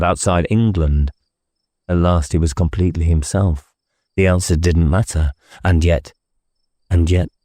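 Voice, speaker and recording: A man's voice: low-pitched, mellow, no room sound. A man narrating a novel as an audiobook in a dramatic scripted style, recorded on a close studio microphone.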